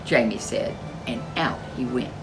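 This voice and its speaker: A woman reading a folk tale aloud as a storyteller, expressive and animated. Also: extremely low pitch, measured pace, music in the background. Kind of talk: storybook read-aloud narration.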